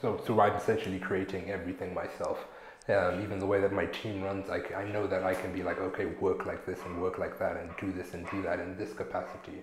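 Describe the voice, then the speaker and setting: A young man from Zimbabwe talks through his kitchen career in a relaxed, slangy interview. His voice sits low and deep. A lapel mic picks him up in a small studio that echoes. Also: fast talker. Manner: relaxed, slangy, acts out coworkers' lines. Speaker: chef, interview guest. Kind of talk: casual interview talk. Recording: echoey room.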